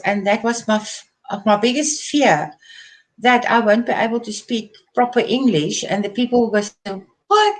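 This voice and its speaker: Woman guest, Afrikaans native with matching accent, high-ish voice, unhurried, chatty and self-deprecating. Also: low-quality recording. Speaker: crafter, interview guest. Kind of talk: casual live-stream interview.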